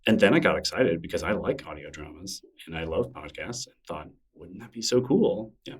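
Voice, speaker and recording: Low-voiced man musing in a rambling way. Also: animated, fairly quick, slightly rough, distant mic. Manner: thoughtful, rambling, with frequent fillers and restarts. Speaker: interview guest, newsletter creator. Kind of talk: casual conversational interview.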